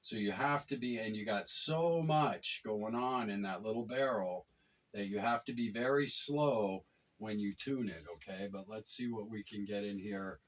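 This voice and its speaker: A man's voice: lowish voice, moderate pace, distant mic. A man giving a casual, enthusiastic product review, rambling and repeating himself for emphasis.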